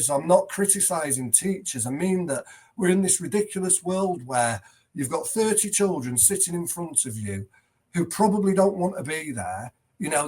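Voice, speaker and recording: A man's voice: on the high side, slightly rough. A middle-aged headteacher, a man, speaking earnestly and emphatically as a guest in a conversational interview, often restarting his sentences. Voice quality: loud voice.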